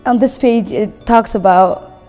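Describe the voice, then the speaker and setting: Young woman, mellow voice, reverberant room. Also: fairly high pitch, a little slow. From Somalia, later lived in Kenya. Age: 20s. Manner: conversational, frequent "you know". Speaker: recent graduate, guest book reader. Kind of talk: casual personal talk about a book. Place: indoor room with wood-panel wall, seated. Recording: reverberant room with background music.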